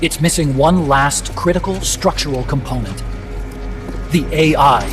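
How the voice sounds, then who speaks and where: Man acting high-voiced, earnest scientist. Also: a little slow, close to an everyday voice. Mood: confiding, explanatory. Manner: explanatory, earnest, talkative. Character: earnest, disillusioned male nuclear weapons scientist, unable to walk. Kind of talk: video game voice acting. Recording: loud music behind. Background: music.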